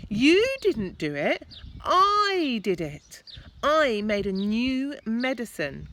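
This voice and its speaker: A woman voicing an earnest young boy in a storybook, calling out loudly and insistently. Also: fairly high pitch, very animated, takes it slowly, voice pushed up, heavily acted. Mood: insistent, protesting.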